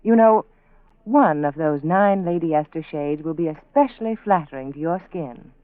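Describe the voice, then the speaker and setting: A woman's voice: deep voice, animated, moderate pace, no room sound. A woman reading a scripted 1940s radio advertisement as a spokeswoman. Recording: dry, muffled narrow-band vintage radio.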